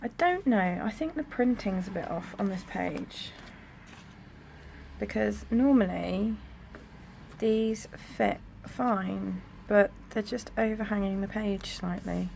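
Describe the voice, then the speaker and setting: A young woman with a croaky voice, chatting casually at home while decorating her planner. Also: mid-range voice, slow pace. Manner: chatty, rambling, soft-spoken. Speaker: planner vlogger. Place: home room near a busy road. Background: traffic noise.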